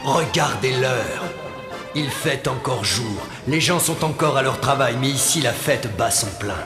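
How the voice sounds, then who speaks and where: A man's voice: mid-range voice, unhurried, over music, close to an everyday voice. Man as solemn, echoing Spirit of Christmas Past. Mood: solemn, measured. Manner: calm, questioning, admonishing. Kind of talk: French cartoon voice acting. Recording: echoey with long reverb.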